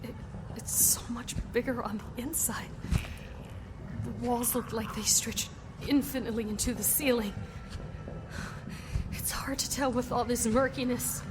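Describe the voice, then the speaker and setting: An actress playing an overwhelmed young woman, hushed and bewildered. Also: on the high side, steady tone, unhurried, pauses often, background noise, lightly acted. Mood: uneasy.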